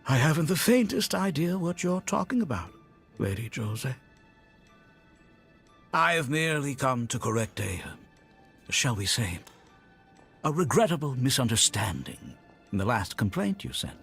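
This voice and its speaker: Slippery game villain: pompous official, very animated pitch, oily false courtesy, music underneath. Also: male voice, normal speed, gravelly, pauses often. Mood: feigned innocence. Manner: pompous, mock-polite, oily. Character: smug, slippery scheming official feigning innocence. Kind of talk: scripted video game cutscene acting.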